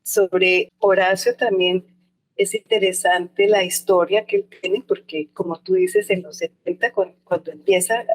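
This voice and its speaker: A woman's voice: lowish voice, fairly even intonation, measured pace, rough recording. Older woman recalling, thoughtful. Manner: thoughtful, with frequent restarts and hedges. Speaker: anthropologist interviewee. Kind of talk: reflective interview recollection.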